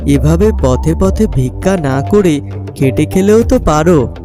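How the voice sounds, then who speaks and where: A man's voice: on the high side, expressive, a little slow, over music. A man voicing a poor, brusque village farmer and melon seller in a cartoon story. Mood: harsh, dismissive.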